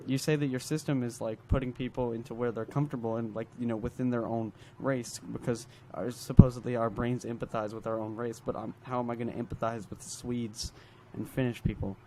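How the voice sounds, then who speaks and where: A man's voice: medium pitch, flat delivery, a little fast, hardly any pauses. A man in a casual group debate, talking in an argumentative, questioning way over a noisy recording.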